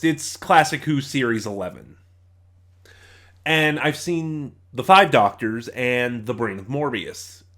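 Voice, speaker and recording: A man's voice: medium pitch, a bit bright. A man giving a casual, rambling review as a reviewer, opinionated but often hesitant and digressive.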